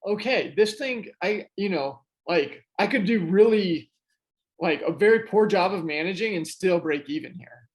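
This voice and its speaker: This is a man with a fairly high speaking voice, talking as a guest on an investing podcast. He tells his story in a loose, chatty way, full of "um"s and "you know"s and restarting his sentences. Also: expressive, normal speed, low-quality recording. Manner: chatty, many fillers and restarts. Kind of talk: casual conversational storytelling.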